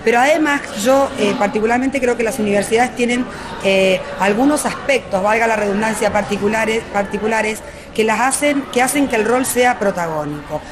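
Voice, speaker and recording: A woman with an Argentine Spanish accent giving a reflective interview answer, articulate but often pausing to search for words and rephrase. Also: on the high side, crowd noise.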